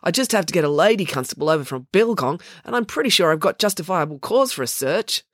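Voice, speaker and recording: A woman's voice: lowish voice, expressive, quick pace, no echo, studio quality, pitched above the performer's own voice. A woman voicing a mild, easily embarrassed male police constable in an audiobook, procedural and hesitant.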